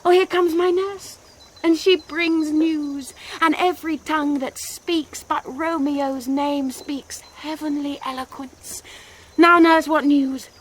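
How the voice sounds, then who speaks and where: A young, lovestruck English noble girl in a Shakespearean radio play. Her voice is high and breathy, and she delivers verse slowly with passionate intensity. There is some noise in the recording. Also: steady tone. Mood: impatient, excited. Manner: poetic, breathy, intense declamation. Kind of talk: classical Shakespearean radio drama acting. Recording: noisy 1990s radio drama recording.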